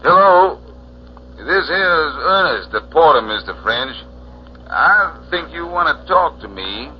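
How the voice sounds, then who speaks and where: A man's voice: on the high side, sing-song, slow pace, slight background noise, voice pushed up. A man voicing a folksy, sly hotel porter with a drawling, homespun, insinuating manner, in 1940s radio style. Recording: muffled, humming old radio recording.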